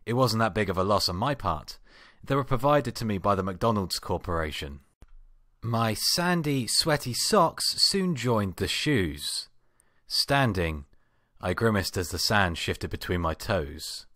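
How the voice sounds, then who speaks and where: A man giving an amateur dramatic reading of a first-person story, with expressive, acted-out delivery. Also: fairly low pitch, measured pace, dry recording, studio quality. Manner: expressive, acts out exasperated outbursts. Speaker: amateur fan fiction reader.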